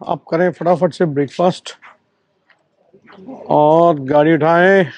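A man's voice: on the high side, fairly even intonation, takes it slowly, a little mellow, no room sound. A man chatting casually to viewers as a travel vlogger, often with a friendly "so, sir" filler, in a hotel breakfast area on a camera mic. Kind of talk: casual travel vlog chat. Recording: dull lo-fi sound with faint music.

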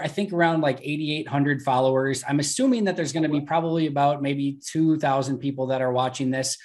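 A young man hosting a sports podcast over a video call from home, upbeat and talkative. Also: a little high. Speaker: podcast host. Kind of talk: casual sports podcast chat. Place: room at home.

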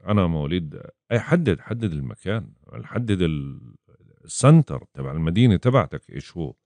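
A man's voice: low voice, mellow, dry recording. A man gives a casual astrology lesson, acting out his clients' questions in quoted voices. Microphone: voice-over over chart screen.

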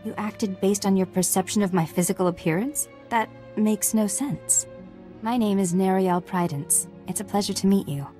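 An actress playing a serene, earnest Jedi knight in a video game, speaking calmly and formally. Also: female voice, medium pitch, moderate pace, faint music behind, natural voice. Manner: calm, formal. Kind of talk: video game dialogue acting.

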